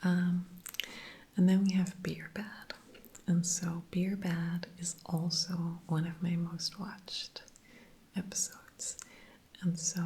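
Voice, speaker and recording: Slow, husky whispering woman. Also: on the low side. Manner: soft unvoiced whisper throughout, chatty with fillers. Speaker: TV episode reviewer. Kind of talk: whispered ASMR episode recap.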